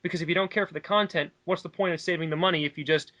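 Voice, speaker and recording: Man, fairly high voice, very bright and forceful, casual co-host commentary, emphatic, opinionated. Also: fairly even intonation, rapid-fire. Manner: emphatic, argumentative, talks at length.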